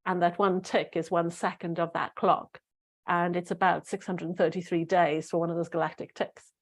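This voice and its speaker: A middle-aged woman talks to her listeners in a loose, rambling way, full of fillers and restarts. Her voice sits a little low and sounds slightly croaky. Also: level tone, normal speed. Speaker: spiritual podcast host. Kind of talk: casual solo talk to listeners.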